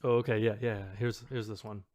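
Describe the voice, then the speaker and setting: A man's voice: on the low side, level tone, brisk, darkish tone, dry recording. Casual man co-hosting, dry close sound. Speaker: podcast co-host. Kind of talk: casual chat with reading of online posts.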